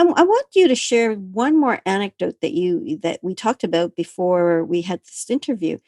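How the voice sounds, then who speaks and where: A woman's voice: lowish voice, a little mellow, no echo. Woman interview host, dry close sound. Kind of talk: conversational interviewing.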